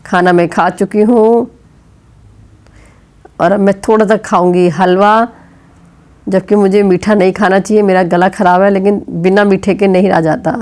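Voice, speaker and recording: A middle-aged woman with a sore throat and fever talks her way through home chores in a chatty vlog. Her voice sits at an ordinary middle pitch, and she explains each step as she goes. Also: fairly even intonation, moderate pace, long pauses, darkish tone. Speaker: vlogger. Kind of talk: casual home vlog narration. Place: home kitchen.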